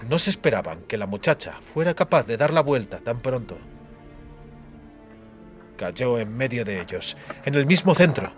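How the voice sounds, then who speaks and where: A man's voice: mid-range voice, very animated, normal speed, soft background music. A man narrating a fantasy audiobook in a dramatic, scripted style.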